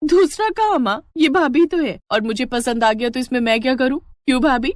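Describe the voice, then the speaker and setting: A woman's voice: sits high, moderate pace, no room sound, voice pushed up, close to an everyday voice. A woman voicing a covetous young college-going sister-in-law, wheedling and entitled, in a storybook drama. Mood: insistent, entitled.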